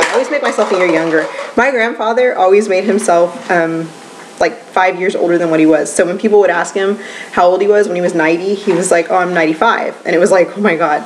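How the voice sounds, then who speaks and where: Twentysomething woman vlogging from home, kinda low voice, lots of ums and giggles, faint hiss behind. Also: quick pace. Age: 20s. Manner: rambling, lots of ums and soft laughs. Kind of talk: casual chatty vlog.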